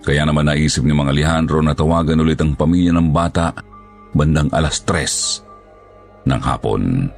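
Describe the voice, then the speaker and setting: A man's voice: rumbling low, moderate pace, creaky, long pauses, faint music behind. A man reading scripted first-person narration of a horror story.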